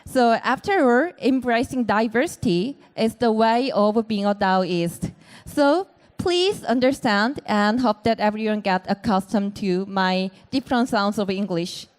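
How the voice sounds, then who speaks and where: A woman's voice: mid-range voice, unhurried. A woman with a Korean accent moderating a conference panel, speaking with frequent fillers and hesitations. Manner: hesitant, many fillers, light laughs. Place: conference venue resembling a bar.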